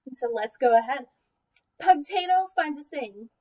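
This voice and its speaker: A high-voiced woman speaks as a teacher reading a picture book to small children. Her delivery is expressive and deliberate, with questions put to the listeners, and she sounds a little way from the microphone. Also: far from the mic.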